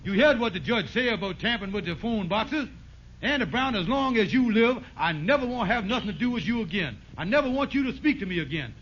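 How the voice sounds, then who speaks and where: An actor playing a bossy, scheming, excitable con man in a 1950s radio sitcom, with folksy ungrammatical blustering speech. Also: high-pitched, quick pace. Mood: outraged. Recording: muffled, noisy old radio recording.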